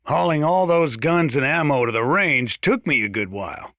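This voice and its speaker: A man's voice: fairly high pitch, animated, moderate pace, pushed and bright, no room sound, clean studio sound. A man playing a folksy, gun-loving small-town sheriff in a video game, chatting boastfully in a conversational radio-style monologue. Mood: chatty, matter-of-fact.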